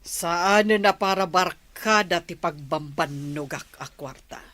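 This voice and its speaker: A woman voicing a caring but strict old grandmother in a radio drama, slow and scolding yet tender. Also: on the low side, a bit bright. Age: older. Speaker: grandmother character. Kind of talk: Ilocano radio drama acting.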